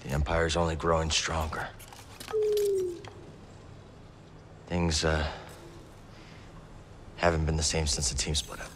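A young rebel in a video game. His voice is low and understated, terse and dryly weary, with faint noise behind it. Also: little pitch movement, moderate pace, a bit bright. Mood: weary, downcast. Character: weary, guarded young male rebel fighter. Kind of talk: video game cutscene acting.

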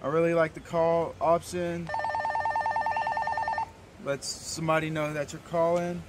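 Higher-voiced young man, casual outdoor demo. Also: level tone, unhurried. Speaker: product reviewer. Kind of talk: casual product demonstration to camera. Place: outdoors in a wooded yard. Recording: outdoor camera audio with background noise.

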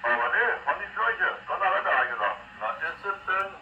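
A man's voice: sits high, big pitch swings, fast, pushed and bright. A man chatting in a colloquial, rambling way over CB radio. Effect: heard over CB radio. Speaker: CB radio operator. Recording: muffled noisy CB radio reception.

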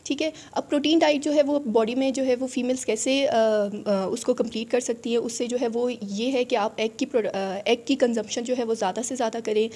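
Husky, mellow young Pakistani woman. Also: a little high, steady tone, brisk, hardly any pauses. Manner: explanatory, repetitive filler phrases and many English terms. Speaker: veterinary officer. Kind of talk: prepared awareness message to camera. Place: indoor event hall, in front of a banner. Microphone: handheld reporter microphone.

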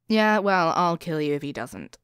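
A woman's voice: low voice, sing-song, normal speed, clean tone, dry recording, studio quality. A woman acting in an audio roleplay as a tough, confident spy with a tender private side, wry and self-assured. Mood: impatient.

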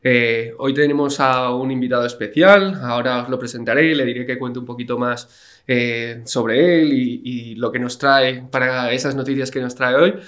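A young man hosts a podcast with a friendly, casual manner, often pausing on "eh". His voice sits at a middle pitch. He talks into a boom-mounted studio mic in a small room. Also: fairly even intonation, a bit bright. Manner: friendly, hesitant with many "eh" and "ujú". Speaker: podcast host. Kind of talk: casual interview hosting. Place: small studio with wood panels and a plant. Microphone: large studio mic on a boom arm, headphones.

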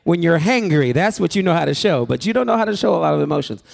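A man teaching a sermon-preparation workshop to a live group in a church hall, animated and joking, calling out to the room. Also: fairly high pitch, fast, creaky. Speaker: preaching instructor.

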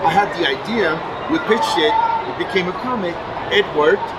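A man's voice: high voice, normal speed. French-accented man over convention noise. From France. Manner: animated, gestures while talking. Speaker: comic book writer and publisher. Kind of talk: casual interview at a convention. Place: booth in a convention hall. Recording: loud convention crowd noise behind.

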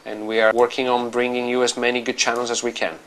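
A middle-aged man with an Italian accent talks through a software demo in an office. His voice is bright and forceful, and his delivery is loose and explanatory, full of fillers and small restarts. Faint background noise sits under the recording. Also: mid-range voice, flat delivery, fast talker, low-quality recording. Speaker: company representative demonstrating software. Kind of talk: product walkthrough.

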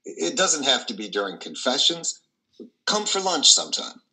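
An older actor, over sixty, playing a kindly, reclusive priest in a play reading, gentle and hesitant. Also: male voice, mid-range voice, sing-song, normal speed, heavily acted, rough recording. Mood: warmly inviting. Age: older, 60s or over.

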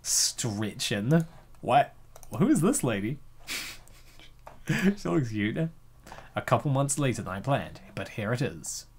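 A man's voice: mid-range voice, sing-song, slow pace, pauses often, a little mellow, husky. A man hosting a comic reading, giggling often and rambling with lots of fillers. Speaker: host reading a comic dub. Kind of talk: casual chat.

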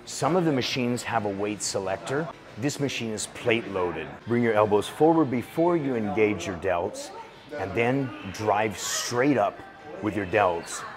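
A man in his forties giving calm, steady step-by-step workout instructions as a voice-over. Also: on the low side. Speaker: fitness instructor. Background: music.

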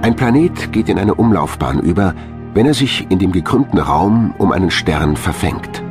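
A man's voice: low voice, lively intonation, normal speed. A man reading a scripted science documentary narration as its narrator. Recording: loud music bed under narration. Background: loud background music.

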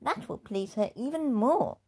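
A woman's voice: on the high side, lively intonation, unhurried, dark tone, breathy edge, voice pushed up, heavily acted. A woman voicing a polite, timid young male wolf cub in a storybook read-aloud, sweet, eager and prim. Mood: earnest, polite.

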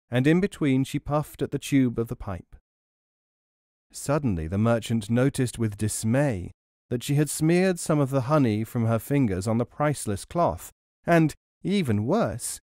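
Casting: male storyteller for audiobook, expressive and articulate, close and dry. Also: mid-range voice, no room sound. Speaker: audiobook narrator. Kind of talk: scripted storytelling narration.

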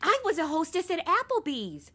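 A woman's voice: extremely high pitch, big pitch swings, normal speed, pushed and bright, heavily acted. An actress playing a ditzy, sassy modern young woman detective in a comic radio drama, flippant and slangy. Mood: breezy, flippant. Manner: flippant Valley-girl slang, interrupting.

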